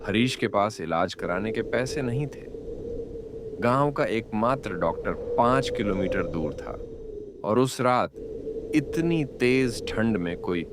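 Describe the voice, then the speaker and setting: Slow male storyteller over loud noise. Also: on the low side, animated. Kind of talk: scripted story narration.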